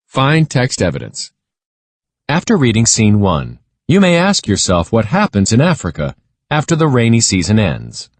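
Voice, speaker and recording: A man reading a scripted children's lesson aloud in a measured, instructional way. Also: on the low side, huge pitch swings, no room sound.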